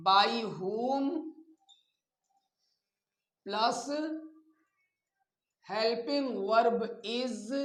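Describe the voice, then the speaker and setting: A man teaching a grammar lesson step by step, deliberate and instructive, as if dictating piece by piece. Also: very high-pitched. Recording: echoey, roomy sound.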